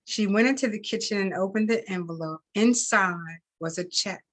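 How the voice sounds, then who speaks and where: A woman reading fiction aloud as a host, expressively and deliberately, sometimes repeating words. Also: mid-range voice, a bit bright. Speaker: reader and event host.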